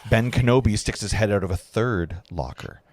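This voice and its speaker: Low-voiced man reading stage directions. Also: normal speed, darkish tone. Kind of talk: live table read with casual asides.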